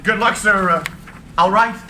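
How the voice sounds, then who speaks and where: A man's voice: high voice, unhurried, bright, forceful tone, slight background noise, exaggerated voice. An actor playing an eager, loyal male technical assistant in a live screenplay reading. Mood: heartfelt.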